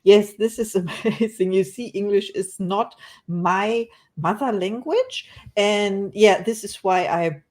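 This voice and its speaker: A woman's voice: mid-range voice, slow, a little mellow. A woman, an English speaker hosting an interview, enthusiastic and now and then searching for words. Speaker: podcast host.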